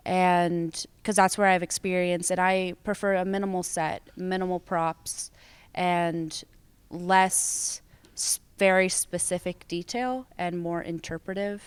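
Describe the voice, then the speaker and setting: Clear-voiced, unhurried young American woman. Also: on the low side, fairly even intonation, no room sound. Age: young adult. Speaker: student theater performer and writer being interviewed. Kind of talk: casual interview answers.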